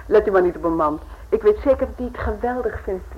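A woman's voice: medium pitch, animated, fast talker, husky. A woman acting in a radio drama as a practical wife with an insistent, coaxing, intimate manner. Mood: bright, sociable. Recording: dull old radio drama recording, noisy.

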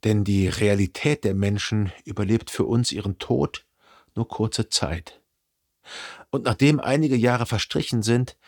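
An actor playing a brooding, melancholy man who recalls a dead lover in reflective, measured radio drama narration. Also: on the low side, darkish tone. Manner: reflective, measured, analytical monologue.

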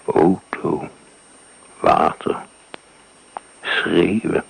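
An actor playing an older writer haunted by a wartime firestorm, speaking slowly in a hushed, breathy, gravelly voice in a radio play. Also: male voice, sits low, fairly even intonation, a little hiss or hum, full character voice, rough recording. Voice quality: gravelly, breathy, half-whispered. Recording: old radio broadcast recording.

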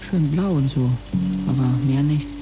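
A man's voice: a little high, normal speed, natural voice, rough recording. Man acting a brooding young narrator over music. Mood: wry, detached. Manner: introspective, sardonic, literary phrasing. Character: brooding, disillusioned teenage boy narrating his own life. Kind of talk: radio drama first-person narration.

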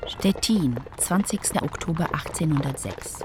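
An actress playing a stern announcer who states dates, places and public notices in a clipped, official way in a radio play. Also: female voice, sits low, animated, normal speed, over music. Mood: neutral, announcing.

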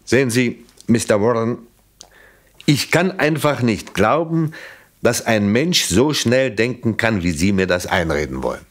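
An actor playing a shrewd police inspector in a German radio play, probing with polite, dry irony and skepticism. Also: male voice, mid-range voice, animated. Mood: skeptical probing. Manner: ironic, polite, measured, probing.

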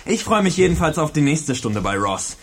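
An actor playing a cocky, excitable teenage pupil in a radio play, slangy and emphatic. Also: male voice, fairly high pitch, moderate pace. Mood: scornful, eager. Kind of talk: German radio play acting.

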